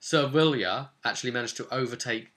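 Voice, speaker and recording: A man casually recounting a role-playing session as game master, telling it in an animated storyteller's way. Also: mid-range voice, fairly quick.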